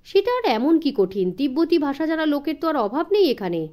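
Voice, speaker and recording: A woman voicing a calm, confident male detective in an audiobook, brisk and reassuring, asking assured questions. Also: sits high, voice pushed up.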